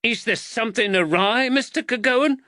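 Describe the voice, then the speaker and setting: A man voicing an angry, exasperated working-class mother in a shrill, scolding way for a storybook. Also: moderate pace, bright, forceful tone, dry recording, clean studio sound. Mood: indignant. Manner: scolding, emphatic.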